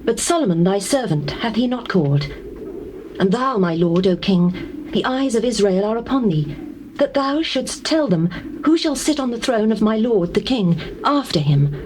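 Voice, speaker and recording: An actress as an earnest biblical queen, deferential and formal as she petitions in declamatory scripture drama. Her voice is of medium pitch, with faint tape noise behind it. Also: lively intonation, moderate pace, darkish tone, lightly acted, poor audio. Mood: pleading, reverent.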